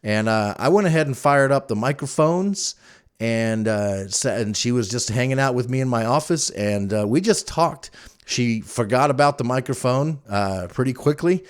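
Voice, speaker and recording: A man's voice: mid-range voice, expressive, normal speed, clear, resonant tone. A middle-aged man hosting a solo podcast in a casual, chatty, conversational storytelling manner. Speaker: podcast host.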